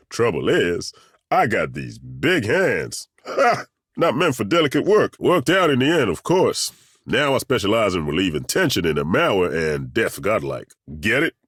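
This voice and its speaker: An actor playing a burly, good-humoured ageing masseur turned adventurer in a video game, with a husky voice and a folksy, self-deprecating, joking way of talking. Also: male voice, lowish voice, very animated, a bit bright, dry recording, clean studio sound, exaggerated voice. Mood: jovial, joking. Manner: folksy, joking, chuckling, drawn-out hesitations. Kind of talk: video game character dialogue.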